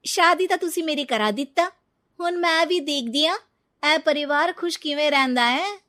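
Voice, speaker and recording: Scheming young bride, played by a woman, Punjabi accent, very high voice, defiant and emphatic, for animated storytelling. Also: measured pace.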